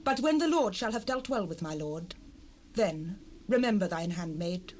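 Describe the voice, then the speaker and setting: A woman's voice: fairly low pitch, expressive, moderate pace, a little mellow, close to an everyday voice. A woman playing a humble, pleading wife in a dramatized King James Bible, earnest and supplicating in formal archaic speech. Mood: fervent entreaty. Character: humble, pleading wife bowing before a warlord. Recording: unprocessed cassette rip with noise.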